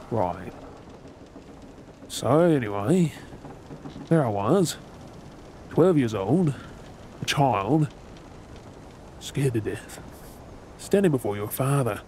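A man playing a gruff, wounded, bitter yet tender pirate captain in a role-play drama, sardonic and brooding with dramatic pauses. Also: mid-range voice, a little hiss or hum. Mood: pained, exasperated. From Australia.

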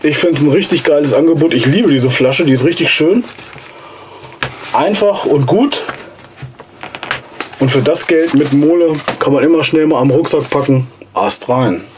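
A man in his forties, hoarse from a cold, casually and enthusiastically reviewing gear in a basement vlog. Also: a little high, moderate pace, long pauses, background noise. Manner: enthusiastic, chatty, slangy. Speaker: outdoor gear channel host. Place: cluttered basement storage room, indoors. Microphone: camera mic from a few steps away.

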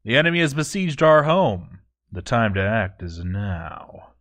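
A man voicing a proud, stern dwarf overseer in formal, measured, scripted storytelling narration. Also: mid-range voice, close to an everyday voice. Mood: grave. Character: proud, stern male dwarf fort overseer, grandly dutiful. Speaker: narrator reading a fort overseer's journal.

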